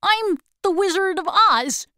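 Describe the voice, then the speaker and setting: A woman's voice: very high-pitched, animated, slow, pushed and bright, no room sound, studio quality, pitched above the performer's own voice, full character voice. Woman reading as a timid old fake wizard. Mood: pleading. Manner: pleading, apologetic. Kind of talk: slow storybook reading.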